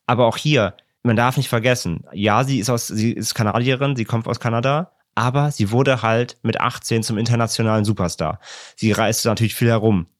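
A man co-hosting a podcast, explaining things in a relaxed, rambling way with many repeats. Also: lowish voice, dry recording, studio quality. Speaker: podcast co-host. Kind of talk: casual podcast chat.

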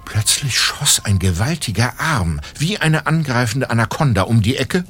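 A man playing the narrator of a detective audio drama, reading in a measured scripted style. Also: mid-range voice, lively intonation, fairly bright tone, husky. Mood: narrating. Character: measured male storyteller of detective story.

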